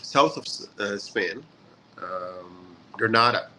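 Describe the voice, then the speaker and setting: Relaxed, chatty male host, lowish bright voice, faint background noise. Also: slow pace, poor audio. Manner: relaxed, chatty, many fillers. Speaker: session host. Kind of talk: casual online lesson. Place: hotel in a city abroad.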